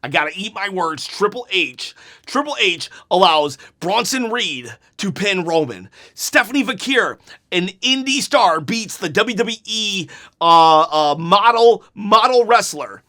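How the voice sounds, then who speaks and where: A man hosting a wrestling channel, giving an unedited review right after a show, excitable and rambling, his voice going hoarse. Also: sits high, unhurried. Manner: excitable, rambling, repeats himself.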